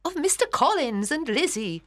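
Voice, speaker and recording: A woman playing a fretful, excitable middle-aged mother in a literary audiobook, agitated and insistent. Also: high-pitched, normal speed, dry recording, clean studio sound. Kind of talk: dramatised literary audiobook reading.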